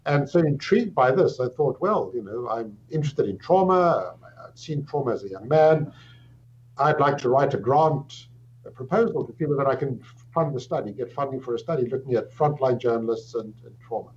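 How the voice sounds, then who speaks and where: A middle-aged man with a South African accent, a psychiatrist answering an interview question with measured, thoughtful speech and frequent pauses and restarts. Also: mid-range voice, expressive, a little mellow. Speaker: guest, psychiatrist. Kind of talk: interview answer, recounting background. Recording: dull lo-fi audio with faint hum.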